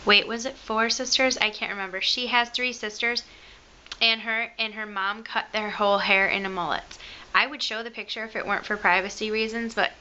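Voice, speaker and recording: A woman's voice: medium pitch, sharp tone, highs cut off, slight background noise. Chatty young woman with a Michigan accent. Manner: chatty, self-mocking, rambling asides. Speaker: beauty vlogger. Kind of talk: casual chat to camera. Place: bedroom at home, indoors. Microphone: camera close to the face.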